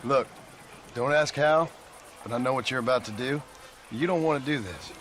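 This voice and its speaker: An actor playing a wary, laconic gunslinger cowboy in a video game, terse and dry. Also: male voice, mid-range voice, normal speed, background noise, lightly acted. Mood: earnest. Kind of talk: video game cutscene acting.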